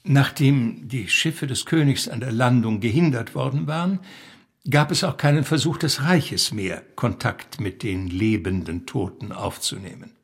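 A man reading a literary story aloud as narrator, with a husky, gravelly voice. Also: mid-range voice, unhurried. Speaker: reader.

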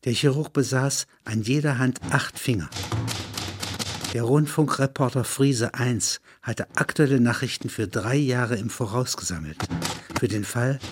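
A man's voice: mid-range voice, a little slow, background noise. A man reading literary narration like a documentary report, sober and matter-of-fact.

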